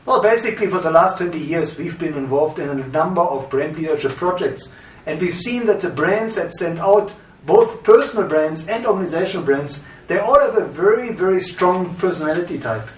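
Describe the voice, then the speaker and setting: Middle-aged man, German accent, fairly high voice, measured explainer, echoey room, mic set back. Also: expressive. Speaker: course presenter. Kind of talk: scripted course introduction. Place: studio with green-screen backdrop. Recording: roomy, from a distance.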